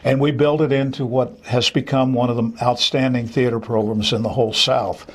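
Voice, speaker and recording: A man's voice: medium pitch, flat delivery, normal speed, slightly rough. An older man speaking off the cuff as an interview guest, a theater director who reminisces with enthusiasm. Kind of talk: unscripted interview conversation.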